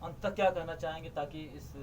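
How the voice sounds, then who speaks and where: A male reporter conducts a formal interview at a fairly high pitch. He speaks in a measured way, pausing on fillers. Faint background noise sits under his voice. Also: steady tone. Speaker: news reporter. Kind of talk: news interview.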